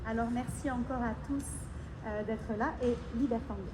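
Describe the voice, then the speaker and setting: High-voiced French woman addressing a concert crowd. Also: fairly even intonation. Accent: French (France). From France. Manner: warm, halting, many fillers and restarts. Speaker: musician addressing a concert audience. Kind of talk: spoken address between pieces at a concert. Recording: echoey live concert recording with background noise.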